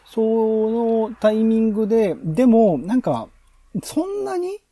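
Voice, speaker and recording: Animated, high-pitched man with a husky voice. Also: takes it slowly, no echo. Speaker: podcast host. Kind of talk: planning discussion on a podcast.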